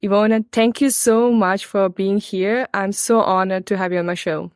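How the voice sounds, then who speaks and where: A woman's voice: medium pitch, steady tone, moderate pace, clear, resonant tone, no echo, clean studio sound. A woman with a Croatian accent, a marketing coach hosting a podcast interview with an upbeat, promotional manner. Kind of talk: podcast interview, scripted intro.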